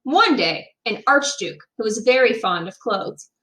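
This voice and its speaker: A woman reading a picture book aloud as a teacher would, expressive and emphatic for young children. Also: a little high, measured pace.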